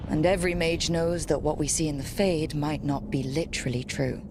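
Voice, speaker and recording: An actress playing a level-headed, frank adventure heroine in a fantasy video game, conversational and direct with a dry edge. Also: female voice, sits low, steady tone, moderate pace, background noise, close to an everyday voice. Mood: thoughtful.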